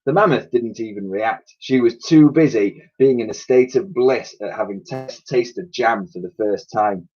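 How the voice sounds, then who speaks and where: A man reading a children's storybook aloud in an expressive way, like a teacher reading to pupils. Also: on the low side, unhurried, hardly any pauses, slightly rough, lo-fi sound. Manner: expressive, acts out characters' dialogue.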